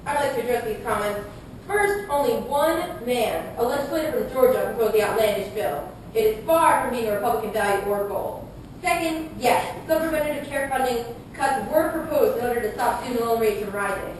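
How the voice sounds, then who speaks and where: A woman's voice: animated, fairly quick, natural voice. A woman playing a combative male conservative presidential challenger, making accusatory scripted speeches in a puppet show debate. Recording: echoey room, distant mic, rumbling noise.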